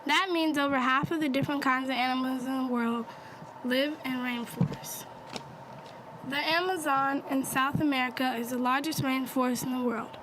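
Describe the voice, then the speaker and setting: A woman's voice: high voice, steady tone, moderate pace. A woman reading scripted educational narration for young listeners. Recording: faint background noise.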